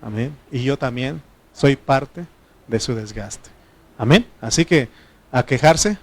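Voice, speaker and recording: A man's voice: mid-range voice, lively intonation, takes it slowly. Middle aged mexican pastor, triqui is his first language, joking folksy sermon, asks the crowd stuff. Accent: Mexican Spanish.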